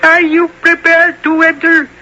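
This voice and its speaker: A woman's voice: extremely high pitch, monotone, sharp tone, full character voice. An actress playing a sinister, mocking old witch in a 1970s radio drama, cackling and speaking with menace. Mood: ominous, menacing. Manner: cackling, slow, menacing delivery. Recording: dull, noisy old radio broadcast recording.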